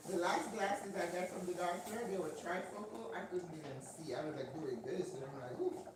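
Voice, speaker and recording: A woman's voice: lowish voice, fairly quick. An older woman hosting a channel, chatting casually with viewers while cooking at home. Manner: chatty, talks to viewers and laughs often. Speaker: channel host cooking live. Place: home kitchen. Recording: echoey kitchen with faint background noise.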